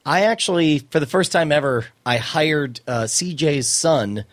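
A man's voice: on the high side, lively intonation, a little slow, no echo. A man chatting casually as a podcast co-host, joking with theatrical turns of phrase. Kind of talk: casual chat.

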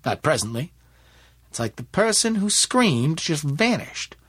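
A man voicing a polite, stern gentleman investigator in an audiobook, with a courteous, formal, probing way of talking. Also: on the high side, very animated, measured pace, breathy edge, dry recording, voice pushed up, full character voice. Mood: musing.

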